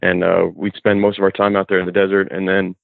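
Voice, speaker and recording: A young man with a Midwestern American accent, talking in a relaxed, easygoing way with lots of ums as a podcast guest, originally from Kuwait. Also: sits low, fast talker, gravelly, no room sound. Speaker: off-road club organizer, guest. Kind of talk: casual interview chat. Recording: thin phone-like remote line.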